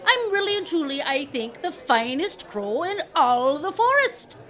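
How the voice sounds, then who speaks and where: Woman voicing a cocksure, high-pitched storybook crow. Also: big pitch swings, unhurried, a bit bright, a faint crowd, full character voice. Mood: boastful. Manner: cocksure, folksy exclamations. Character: boastful crow bragging he is finest.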